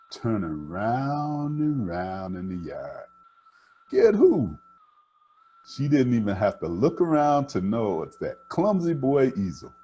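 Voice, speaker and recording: An older man reading aloud to children in an expressive, animated way, sometimes stumbling and rereading a phrase. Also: on the low side, slight background noise. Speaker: storytime host reading picture books.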